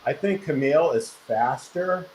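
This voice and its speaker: A man's voice: on the high side, expressive, unhurried, darkish tone, roomy sound, poor audio. Brief: forties male guest, laid-back chat, faint hiss. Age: 40s. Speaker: guest, arm wrestler. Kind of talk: casual chat.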